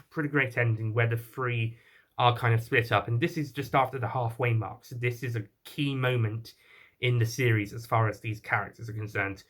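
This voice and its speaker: A man giving an unscripted spoken review, talking in a halting, rambling way and often rephrasing himself as he goes. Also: medium pitch, a bit bright. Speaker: online reviewer of audio drama.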